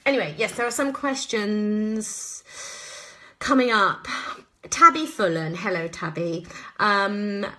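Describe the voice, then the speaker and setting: An English woman in her thirties with a bright, forward voice, talking casually in a live Q&A. She is an actress with an expressive, chatty delivery that wanders from thought to thought. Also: medium pitch, takes it slowly, long pauses, a little hiss or hum. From southwest England. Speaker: actress answering listener questions.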